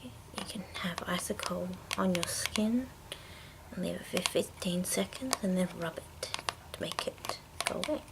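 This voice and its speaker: A woman speaking in a hushed, breathy whisper, casually rambling through products in an unhurried ASMR style. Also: fairly low pitch. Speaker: ASMR video maker.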